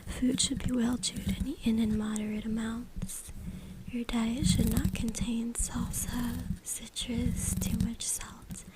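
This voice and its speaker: A woman whispers an ASMR reading right up at the microphone. Her delivery is slow and very level, all breath with hardly any voice. Heavy background noise, with tapping, scratching and clicking, runs behind her. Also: fairly high pitch, level tone. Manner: reading aloud with brief asides. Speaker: ASMR creator. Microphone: close USB microphone.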